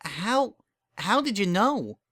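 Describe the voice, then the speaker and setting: A man's voice: sits high, sing-song, slow pace, fairly bright tone, no room sound, studio quality, voice pushed up, exaggerated voice. A man voicing a friendly, easily flustered female pony candy shopkeeper with a folksy, eager manner.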